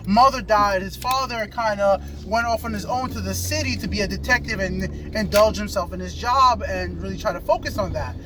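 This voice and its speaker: A man with a very high voice hosts a casual movie review. He talks in a chatty way and often looks to his companion for agreement. He is recorded inside a moving car, with light road noise around him. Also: fairly bright tone, rough recording. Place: inside a car while driving.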